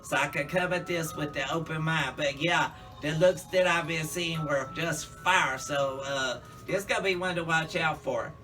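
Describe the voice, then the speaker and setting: A man's voice: fairly high pitch, moderate pace, hardly any pauses, sharp tone, far from the mic, faint music behind. A man in a casual, campy monologue, chatting to viewers as a drag queen reviewer.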